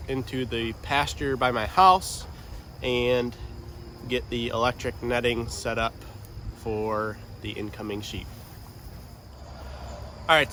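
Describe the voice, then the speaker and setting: A man's voice: medium pitch, pushed and bright. A man with a head cold, stuffy and nasal, talking casually and hesitantly with many ums while outdoors in a windy pasture, recorded on a camera's built-in mic. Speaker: farm vlogger. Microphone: camera mic, no external mic.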